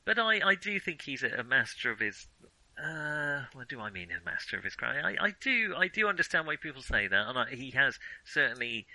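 A man with a very bright, crisp-edged voice talks through his views on film as a co-host. He feels his way through opinions, hesitating and rephrasing. The sound is dry, with little room around him. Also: medium pitch, no echo, poor audio. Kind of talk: casual film discussion.

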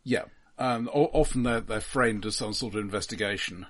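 Low-voiced man, halting and explanatory. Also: a bit bright. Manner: hesitant, halting, measured, explanatory. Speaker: film podcast co-host. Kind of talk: casual film discussion.

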